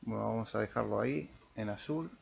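A man with an Argentine Spanish accent giving an informal software tutorial, thinking aloud as he demonstrates. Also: lowish voice, fairly quick, creaky, muffled recording, slight background noise. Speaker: amateur radio video tutorial host.

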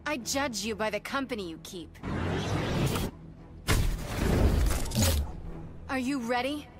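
High-voiced, cocky young superheroine played by a woman. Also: animated, a little fast, natural voice. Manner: taunting, confident quips. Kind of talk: video game character acting. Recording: loud music behind.

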